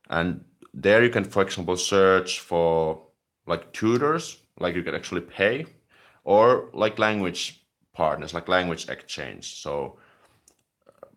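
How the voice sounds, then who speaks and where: A man from Finland, talking casually on a live stream and answering viewer comments as a language-learning host, with a relaxed, chatty manner and frequent "like" fillers. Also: low voice.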